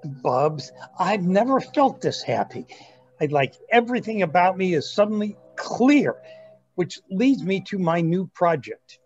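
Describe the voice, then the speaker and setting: In slightly lo-fi sound, a self-absorbed grandson in his twenties played by a man talks with eager earnestness. His intonation is very lively, suited to a comic stage reading. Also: high voice, unhurried, soft background music. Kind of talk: reader's theatre comedy.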